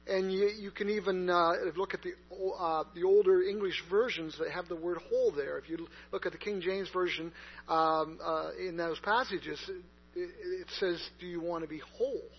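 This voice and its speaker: A man's voice: high voice, fairly even intonation, moderate pace, husky. A man preaching a sermon in a conversational way, with many fillers and restarts. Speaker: pastor. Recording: dull lo-fi recording with mains hum.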